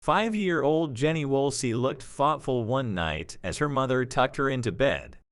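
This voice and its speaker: Male narrator for audio drama: measured storyteller, animated pitch movement, mid register, very dry sound. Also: clean tone, no room sound.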